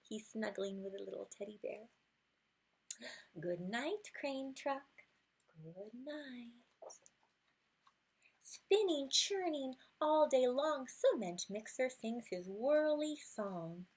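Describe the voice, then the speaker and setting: Female storytime reader, fairly high and husky, taking a picture book slowly and expressively, softening into hushed good nights. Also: expressive. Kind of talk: read-aloud of a rhyming children's picture book with asides.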